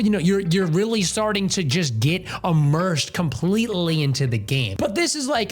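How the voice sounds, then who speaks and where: Animated, lively man reviewing games. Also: sits high. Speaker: video game review host. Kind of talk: casual scripted video review with ad-libs.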